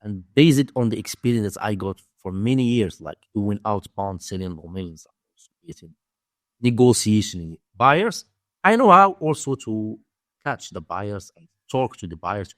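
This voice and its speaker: Low-voiced Moroccan man, halting English. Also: expressive, a bit bright, no room sound, studio quality. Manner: halting, searching for words in non-native English. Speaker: interview guest, domain investor and teacher. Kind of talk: conversational interview answers.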